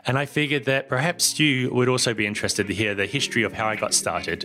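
A middle-aged man from New Zealand with a New Zealand accent, hosting a podcast and telling his story in a measured, scripted way. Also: medium pitch, fairly even intonation, music in the background. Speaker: podcast host. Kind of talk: scripted solo podcast narration.

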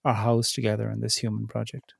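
Thoughtful man, gravelly and dry. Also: fairly low pitch, flat delivery, fast talker, no room sound. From Ireland. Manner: thoughtful, searching, with frequent restarts and hesitations. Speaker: theologian in residence, interview guest. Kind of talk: reflective interview conversation.

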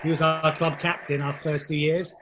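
A man's voice: a little high, little pitch movement, normal speed, a bit bright, lo-fi sound, soft background music. A man interviewing a new signing for his club, asking friendly, easygoing questions.

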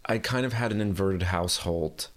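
A man's voice: deep voice, moderate pace. A young man, an artist born in Puerto Rico, answering interview questions casually, rambling with lots of likes and you knows. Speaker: artist guest.

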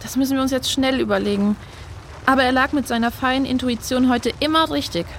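Children's audio drama: woman plays caring, decisive big-sister girl, high voice, reassuring delivery, slight background noise. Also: fairly even intonation, fairly quick. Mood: resolute. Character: Fenja, caring determined young girl, protective big sister.

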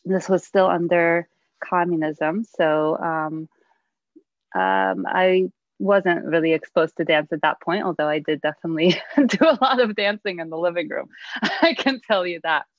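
A woman from Poland speaks as a podcast guest, a little low-pitched and reflective. She pauses often and laughs softly as she thinks. Her audio sounds dry and a bit muffled. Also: no echo. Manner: reflective, many hesitations and soft laughs. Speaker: guest, dancer and researcher. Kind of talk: casual podcast interview.

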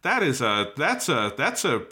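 A man's voice: mid-range voice, very animated, a little slow, bright, forceful tone. A man co-hosting a podcast, chatting casually about a review in an informal, amused way with some swearing. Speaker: podcast co-host.

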